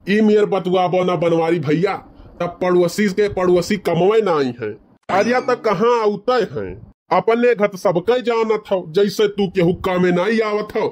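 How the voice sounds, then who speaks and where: A man plays a boastful village fellow from a comic cartoon. His voice sits high for a man, and he argues in colloquial, rustic banter with an Awadhi accent from eastern Uttar Pradesh. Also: a little mellow. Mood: bickering. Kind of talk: comedy cartoon dialogue.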